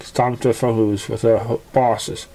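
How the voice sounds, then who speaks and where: A man reading a fan fiction story aloud as narrator, haltingly, stumbling over words and starting lines again. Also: medium pitch, flat delivery, dark tone. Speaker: amateur story reader.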